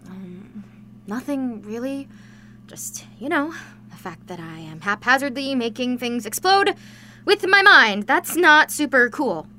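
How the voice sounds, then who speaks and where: An anxious young superpowered heroine in an audio drama. Her voice is high and tense, and she talks in a guarded, stubborn, sardonic, informal way. Slight noise sits in the background. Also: lively intonation, measured pace, sharp tone. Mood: reluctant, sarcastic. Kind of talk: modern audio drama acting.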